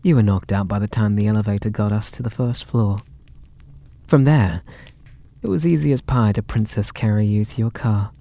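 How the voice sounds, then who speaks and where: An actor with a British accent playing a possessive, lovestruck kidnapper in an ASMR roleplay, sweet and doting in a theatrical, intimate way. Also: male voice, lowish voice, moderate pace, warm tone, lightly acted. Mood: smug, amused. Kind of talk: ASMR roleplay audio drama.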